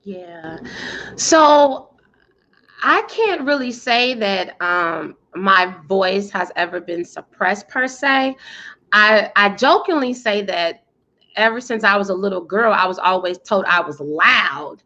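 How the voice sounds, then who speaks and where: A woman's voice: mid-range voice, lively intonation, slow, lo-fi sound. An American woman giving articulate, emphatic answers as an interview guest, with a powerful, self-assured voice. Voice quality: loud, powerful voice. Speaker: interview guest, PR coach and speaker.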